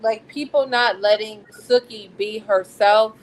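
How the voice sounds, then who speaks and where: An American woman chatting casually as a book discussion co-host, candid and slangy in her animated storytelling. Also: medium pitch, a little slow, poor audio. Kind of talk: casual chat. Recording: noticeable background noise.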